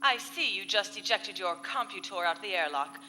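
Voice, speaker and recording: A woman's voice: medium pitch, animated, normal speed, sharp tone, soft background music. A woman playing a scheming, haughty space villain in a theatrical sci-fi radio comedy. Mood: smug, gloating. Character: scheming, gloating, exasperated female space villain.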